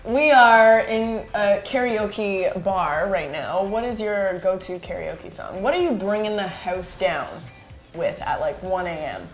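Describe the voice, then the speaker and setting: A woman's voice: mid-range voice, animated, a little slow, reverberant, faint music behind. A woman from Canada hosting a casual, playful interview in a karaoke bar, teasing and laughing at herself. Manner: playful, teasing, self-mocking. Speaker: host.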